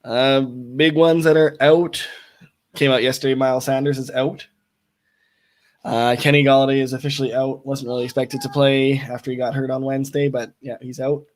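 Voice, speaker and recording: A young man with a slightly raspy voice co-hosting a fantasy football show, giving injury news in a matter-of-fact way with many fillers. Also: mid-range voice, little pitch movement, moderate pace. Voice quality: a bit raspy. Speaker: co-host. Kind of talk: casual fantasy football chat.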